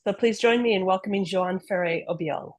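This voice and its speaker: A woman's voice: medium pitch, moderate pace, darkish tone, dry recording. A woman as program chair, reading a friendly introduction for a guest speaker on a video call.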